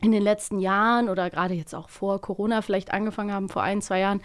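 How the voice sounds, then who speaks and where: A woman's voice: mid-range voice, steady tone, quick pace, clean tone. A woman chatting casually, often rambling and breaking off her own sentences to rephrase them. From Germany. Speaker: voice actress and podcast co-host. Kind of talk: casual chat.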